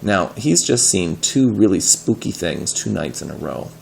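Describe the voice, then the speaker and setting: A man reading a story aloud expressively, pausing to explain words and ask questions in a patient, teacherly way. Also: sits low, big pitch swings, vocal fry, a little mellow, slight background noise.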